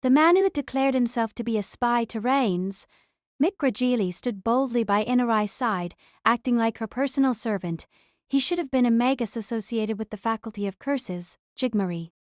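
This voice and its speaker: A woman's voice: a little high, moderate pace, dry recording. Woman narrating a novel, dry studio sound. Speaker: audiobook narrator.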